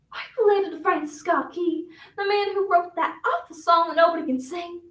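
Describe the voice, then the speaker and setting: A flamboyant Southern belle flapper, acted by a woman in a high-pitched voice, holding forth in a dramatic stage monologue. Her Southern carries a wistful, coquettish theatricality. The sound is distant from the microphone, with plenty of room echo. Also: fairly bright tone, full character voice. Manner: dramatic, swinging from coy reminiscence to defiant outbursts.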